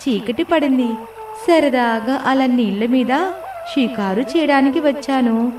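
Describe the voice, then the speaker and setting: A woman's voice: high-pitched, a little slow, a little mellow, reverberant, distant mic, slight background noise. A woman voicing a carefree, judgmental golden fish musing to itself in a children's storybook tale. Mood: disapproving, breezy.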